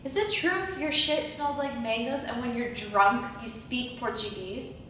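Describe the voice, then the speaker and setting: A woman's voice: slow pace, a bit bright. A woman acting a theatrical monologue as an anguished, awestruck Bronx woman questioning an angel. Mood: awed questioning. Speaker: acting student. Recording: echoey room from far off, rumbling noise.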